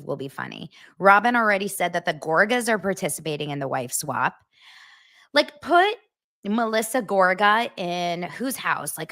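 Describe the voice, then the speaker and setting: A woman's voice: lowish voice, a bit bright. An American woman hosting a casual pop-culture podcast, chatty and giggly, often laughing at herself. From United States. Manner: chatty, giggly, self-mocking. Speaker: podcast host.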